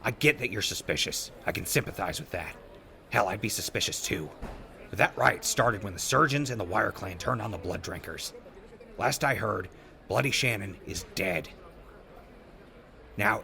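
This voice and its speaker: Low, gravelly, earnest male traveler, acted. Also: animated, moderate pace, pushed and bright, full character voice. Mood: earnest pleading. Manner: earnest, placating. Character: weary, good-natured male wasteland traveler, earnest peacemaker. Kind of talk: post-apocalyptic audio drama acting.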